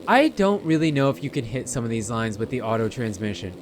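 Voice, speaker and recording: A man's voice: mid-range voice, very animated, fast, slight background noise. A man giving casual, rambling gameplay commentary, chatting informally and speaking directly to his viewers. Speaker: gamer narrating gameplay.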